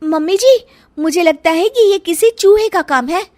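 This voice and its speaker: A woman voicing a sassy, sweet-talking young daughter-in-law in an animated story, with exaggerated, expressive delivery. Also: very high-pitched, normal speed, dry recording, clean studio sound, voice pushed up. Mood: persuasive. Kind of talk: animated Hindi moral story acting.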